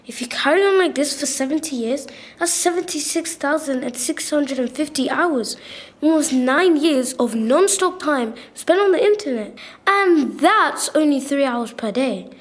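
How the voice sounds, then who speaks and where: Girl acting as a harried receptionist lady, high voice, snooty and brisk, radio play. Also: lively intonation. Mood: brisk, condescending. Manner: brisk, condescending.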